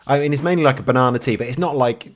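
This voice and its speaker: A man's voice: medium pitch, very fast. A man co-hosting a podcast in casual chat, with dry teasing humour. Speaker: podcast co-host.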